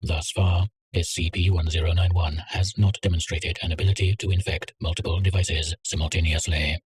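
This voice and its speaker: Deep-voiced man reading a clinical file. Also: husky. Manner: measured, clinical and even. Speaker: narrator. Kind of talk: scripted reading of a containment file.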